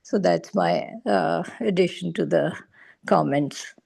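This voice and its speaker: A woman speaks slowly in an informal group discussion. Her voice is soft-edged and relaxed, with noticeable fry. She works through her points one at a time, pausing to find words. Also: on the low side. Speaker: book club participant giving comments.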